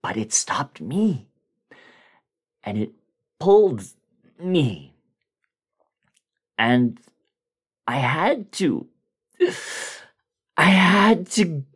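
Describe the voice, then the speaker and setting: A man's voice: on the high side, very animated, fairly bright tone, pitched above the performer's own voice, exaggerated voice. Man acting out a terrified, breathless, broken man. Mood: desperate, breaking down. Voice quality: breathy, whispering. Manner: halting, anguished, drawn-out delivery. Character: terrified injured man, traumatized and breaking down. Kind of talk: dramatic horror story reading.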